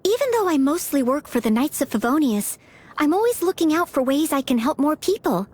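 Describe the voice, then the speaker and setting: A woman's voice: high-pitched, very animated, brisk, a little mellow. A woman voicing a polite, self-effacing young maid and aspiring knight in a video game, eager to please. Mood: humble, devoted. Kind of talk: video game character voice lines.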